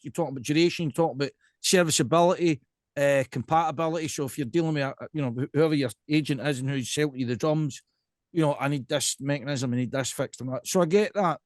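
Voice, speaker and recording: A Scottish man with a fairly high-set voice hosts a casual podcast chat, recorded dry and close. He rambles emphatically through his questions and keeps checking that he is being followed. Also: no room sound. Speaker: podcast host.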